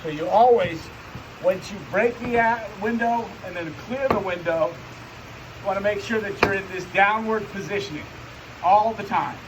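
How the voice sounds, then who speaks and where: A man's voice: high-pitched, normal speed, noisy background. A man giving an unscripted, hands-on demonstration as a firefighting instructor, often repeating and restarting his phrases as he explains.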